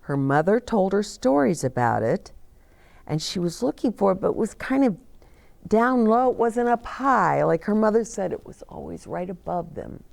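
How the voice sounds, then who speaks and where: A woman in her sixties reading a picture book aloud expressively, with dramatic pauses and hushed emphasis. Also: mid-range voice, big pitch swings, vocal fry, dry recording. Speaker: children's storyteller. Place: small decorated indoor set, seated.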